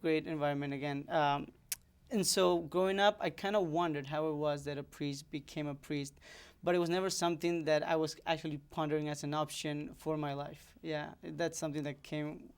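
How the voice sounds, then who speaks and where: A man's voice: fairly high pitch, fairly even intonation, moderate pace, gravelly, clean tone. A young man from Ecuador, a seminarian guest talking earnestly with many fillers and restarts.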